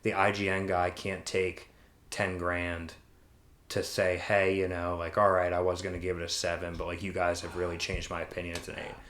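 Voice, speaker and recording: Low-voiced man chatting in an echoey room. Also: completely flat delivery, moderate pace, a bit bright. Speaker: podcast co-host. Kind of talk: casual opinionated discussion. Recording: roomy, echoey sound.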